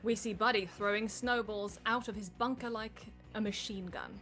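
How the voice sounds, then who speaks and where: A woman's voice: mid-range voice, steady tone, normal speed, a bit bright, soft background music. A woman reading scripted stage directions as narrator at a comedic live table read.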